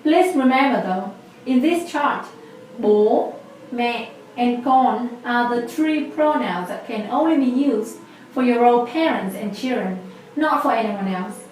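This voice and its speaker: A woman's voice: a little high, expressive, a little slow, darkish tone, heavy reverb, far from the mic, a little hiss or hum, poor audio. A woman in her twenties with a Vietnamese accent, patiently explaining a language lesson step by step. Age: 20s. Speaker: language teacher.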